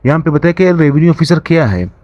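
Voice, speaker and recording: A young man teaches in an explanatory way, reading passages aloud and paraphrasing them, often halting and restarting his phrases. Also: fairly high pitch, expressive, dark tone, no room sound, muffled recording. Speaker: law lecturer.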